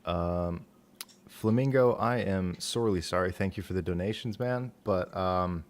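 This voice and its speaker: Soft-spoken livestream host, very low voice, quiet delivery, casual. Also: male voice, a little slow. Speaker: author and stream host.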